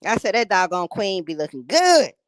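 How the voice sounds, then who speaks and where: A woman's voice: mid-range voice, very animated, normal speed, dry recording, studio quality. A woman in her late fifties from Gary, Indiana, chatting casually with her livestream viewers and laughing often. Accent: Northern Indiana, Gary. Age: 50s. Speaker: livestream host.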